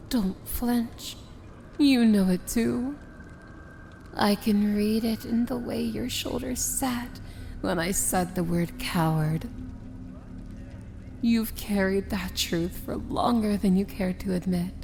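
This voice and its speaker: Lady acting as evil fantasy princess, asmr roleplay, really slow and grand, haughty and amused, bit of noise behind. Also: medium pitch, creaky, darkish tone. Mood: scornful, delighted. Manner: slow, theatrical, grandly sardonic. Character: imperious, amused female princess of evil, theatrical fantasy villain.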